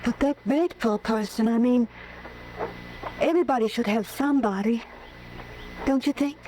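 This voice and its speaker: A woman's voice: fairly high pitch, normal speed, dark tone, close to an everyday voice. An actress playing a shy, wary young ranch girl in a vintage radio drama, speaking hesitantly. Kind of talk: 1950s radio drama acting. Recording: hissy old radio broadcast.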